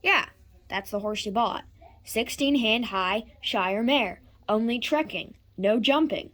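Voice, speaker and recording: A woman's voice: on the high side, slow pace, a bit bright, voice pushed down. A woman doing a blunt country horse seller. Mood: blunt, lecturing. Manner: drawling, blunt and lecturing.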